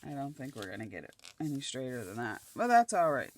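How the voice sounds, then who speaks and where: A middle-aged woman from Nova Scotia, chatting casually as she works on a craft project, her voice slightly croaky and dry. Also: rumbling low, big pitch swings, fast. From Nova Scotia, Canada. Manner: chatty, thinking aloud while gluing collage pieces. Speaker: craft channel host.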